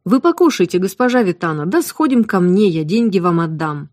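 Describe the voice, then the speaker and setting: A woman's voice: lowish voice, animated, fairly quick, a little mellow, no room sound, studio quality. A woman doing a plain-spoken male valet.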